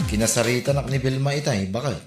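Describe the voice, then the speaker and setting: A man's voice: mid-range voice, fast, loud music behind the voice, natural voice. A man with a Filipino accent playing a mild, hesitant pig-farming husband in an audio drama. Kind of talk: amateur audio drama dialogue. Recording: roomy echo.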